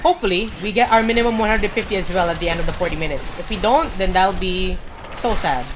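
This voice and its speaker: A woman streamer giving rambling gameplay commentary, full of fillers and pauses to search for words. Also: mid-range voice, noisy background.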